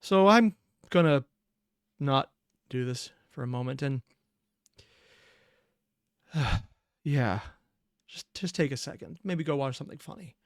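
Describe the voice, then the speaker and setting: A reaction video host giving casual commentary in a halting, emotionally invested way. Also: medium pitch, expressive, no room sound, studio quality.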